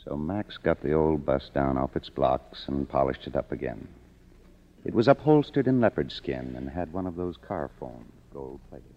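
A man's voice: very deep voice, animated, moderate pace, a little hiss or hum. An actor playing a world-weary, cynical man, wry and hardboiled, in a 1950s radio drama. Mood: dry narration. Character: cynical, world-weary kept man narrating his story. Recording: muffled old radio recording, music behind.

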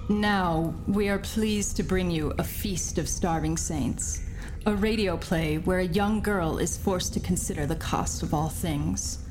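A woman presenter giving a scripted, theatrical introduction to a radio play, deliberately phrased. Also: lowish voice, over music. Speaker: show presenter.

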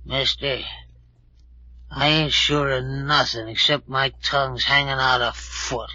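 A rough, edgy drunk from an old radio mystery. He is bewildered and grumbling, full of ungrammatical slang and hesitations. The recording is muffled and dry. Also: medium pitch, flat delivery, unhurried, sharp tone, no echo. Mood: muddled. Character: hungover drunk small-time saloon regular, surly and bewildered.

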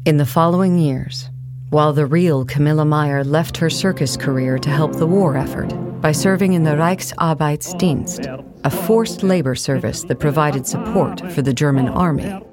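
Woman narrating a documentary-like audio play, reading from script, soft music underneath. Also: low voice.

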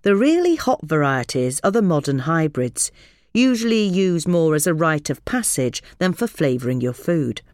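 A woman's voice: deep voice, very animated, moderate pace, a little mellow, dry recording, studio quality. A British woman narrating a scripted magazine article aloud. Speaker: article reader.

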